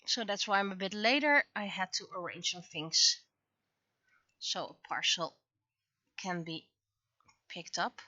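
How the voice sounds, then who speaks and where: A woman chatting casually on a livestream as an artist at work, speaking haltingly as she thinks aloud. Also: on the low side, lively intonation, sharp tone, dry recording, muffled recording.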